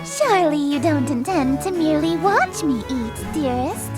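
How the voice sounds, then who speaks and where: A woman's voice: sits high, animated, normal speed, over music. A woman voicing a haughty, theatrical eldritch goddess girl in a game, coy and menacing with pompous, archaic flourishes. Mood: coy, commanding. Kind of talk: scripted visual novel voice acting.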